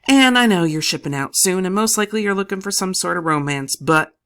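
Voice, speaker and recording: A woman's voice: fairly low pitch, lively intonation, fairly quick, fairly bright tone, clean tone, voice pushed up. A woman voicing a nervous, proper young woman who speaks politely and earnestly.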